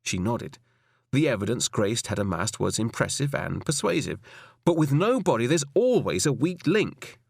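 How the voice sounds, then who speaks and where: Male narrator, British accent, audiobook crime fiction, expressive varied pitch, close dry recording. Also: moderate pace, creaky, husky, no room sound. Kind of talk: scripted crime novel narration.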